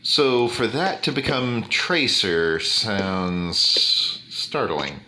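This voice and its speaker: A man with a deep bass voice talking slowly and deliberately over gameplay, with many fillers. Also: very animated, sharp tone, a little hiss or hum. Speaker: gaming YouTuber. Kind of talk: casual commentary over gameplay.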